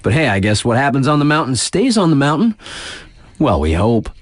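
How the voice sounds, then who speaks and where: An actor playing a laid-back, wry radio DJ at a snowboard resort, chatting in slangy, conversational announcements for a game. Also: male voice, mid-range voice, sing-song, brisk, no echo, close to an everyday voice. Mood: amused, wry.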